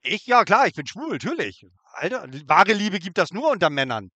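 A man's voice: high-pitched, big pitch swings, moderate pace, bright, forceful tone, no echo. A man on a prank phone call, taunting and crude, sitting in his car in a forest. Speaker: scam baiter.

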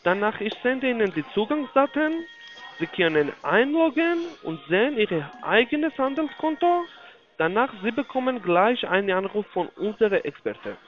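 High-pitched foreign-accented man, swooping salesman intonation. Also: sing-song, faint music behind. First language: not German (non-native speaker). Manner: halting, repetitive, broken grammar. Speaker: investment telephone salesman. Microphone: phone call. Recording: dull, lo-fi phone call audio.

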